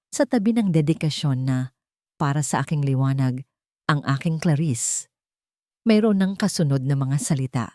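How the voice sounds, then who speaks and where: A woman tells a romantic drama in steady, scripted narration. Her voice sits low for a woman, and the recording is close and completely dry. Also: no room sound. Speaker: storyteller narrator.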